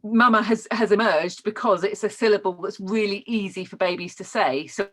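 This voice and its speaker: A woman's voice: medium pitch, moderate pace, fairly bright tone. British woman teaching, explanatory delivery. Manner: explanatory, checking in with learners. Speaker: trainer teaching child development. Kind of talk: informal online lesson, explaining.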